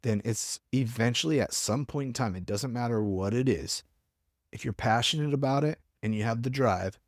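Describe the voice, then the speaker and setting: A man's voice: mid-range voice, normal speed, no echo, clean studio sound. A man hosting a casual podcast, rambling off on tangents and filling his talk with "like" and "you know". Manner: rambling, tangents, filler-heavy. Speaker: podcast host.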